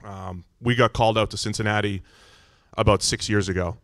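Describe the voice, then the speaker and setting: A middle-aged American man with a loud, booming voice, telling his personal story to a congregation in an informal, conversational way. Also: fairly even intonation, brisk, dry recording, studio quality. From Massachusetts, United States. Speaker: visiting church speaker.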